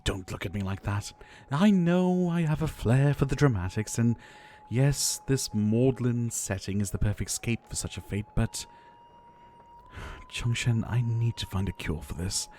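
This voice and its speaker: A man playing a gentle, ailing pharmacist doctor in an ASMR roleplay, soft-spoken and theatrical, with courteous, wistful phrasing and sighs. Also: on the low side, slight background noise, close to an everyday voice. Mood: weary, wistful, then dramatic, wry.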